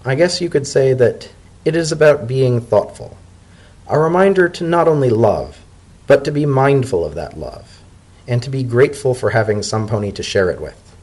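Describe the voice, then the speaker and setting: Man playing an earnest, husky pony civil servant. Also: medium pitch, lively intonation, darkish tone, lightly acted. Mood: tender, reflective. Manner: formal, measured, sincere speech. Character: earnest, polite male pony civil servant, thoughtful diplomat.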